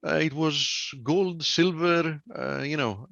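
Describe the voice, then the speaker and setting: A man's voice: a little high, slow pace, vocal fry, a bit bright, no room sound. A man with a Greek accent talking through market charts and economic data on a live webinar, with many fillers and hesitations. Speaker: market analyst. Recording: dull lo-fi online call audio.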